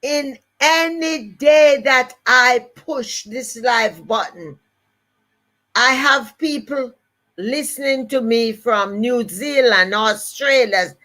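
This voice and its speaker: Slow, emphatic Jamaican woman speaker. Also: a little high, sharp tone. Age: older. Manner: emphatic, repeats key phrases. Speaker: motivational speaker. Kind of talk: motivational live-stream talk.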